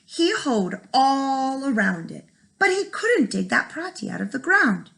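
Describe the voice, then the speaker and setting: A woman reads a storybook aloud like a teacher to young children. Her voice sits fairly high and swings widely in pitch, with frequent creak at the edges. She leaves dramatic pauses for effect. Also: a bit bright. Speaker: first grade teacher.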